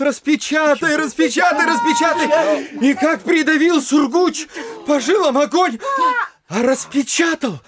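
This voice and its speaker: Excitable, very high-pitched postmaster. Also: male voice. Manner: breathless, dramatic, halting and exclaiming. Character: excitable nosy postmaster, flustered bearer of shocking news. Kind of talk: theatrical audio play acting. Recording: music behind the voice.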